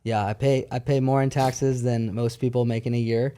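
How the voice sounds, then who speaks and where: Clear-voiced man in his thirties, candid. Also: mid-range voice, little pitch movement, normal speed, mellow. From born in Paris, France, moved to America at twelve. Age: 30s. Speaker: podcast guest. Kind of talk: casual storytelling chat.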